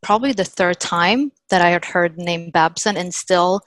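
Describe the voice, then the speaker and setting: Upbeat woman, low voice. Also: moderate pace, fairly bright tone, no room sound. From Sweden. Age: 30s. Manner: chatty, upbeat, many "like" and "you know" fillers. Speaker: podcast guest, sales account executive. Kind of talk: casual interview chat.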